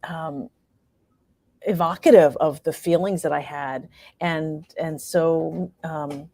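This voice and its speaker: A woman's voice: low-pitched, fairly even intonation, slow, mellow, no echo. A woman speaking as an interview guest about creative work, in a casual, effusive and appreciative conversational way. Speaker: playwright and performer, interview guest.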